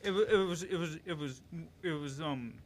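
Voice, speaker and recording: A man's voice: fairly high pitch, normal speed. A man in a casual chat, emotional and given to repeating words as he restarts his sentences.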